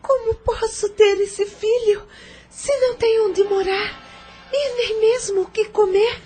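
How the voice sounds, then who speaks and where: Audio drama role: desperate young labouring mother, extremely high voice. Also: steady tone, slow, a little mellow. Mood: anguished despair. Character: frightened, despairing young woman in childbirth.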